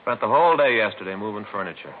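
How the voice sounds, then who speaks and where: A man's voice: on the low side, animated, quick pace, phone-quality sound, close to an everyday voice. An American man playing a chatty married police detective in a 1950s radio drama, folksy, conversational and mildly grumbling. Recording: thin, lightly noisy 1950s radio recording.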